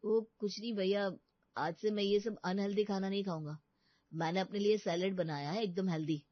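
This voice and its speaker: A woman's voice: medium pitch, fairly even intonation, brisk, no echo, muffled recording, close to an everyday voice. A woman voicing a hapless, defensive young college man in a cartoon family story, earnest and exasperated.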